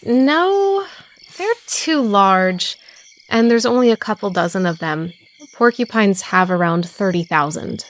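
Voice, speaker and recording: Woman as a level-headed, mid-pitched small-town sheriff. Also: lively intonation, a little slow, close to an everyday voice. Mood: puzzled, analytical. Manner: matter-of-fact, thoughtful. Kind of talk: scripted audio drama.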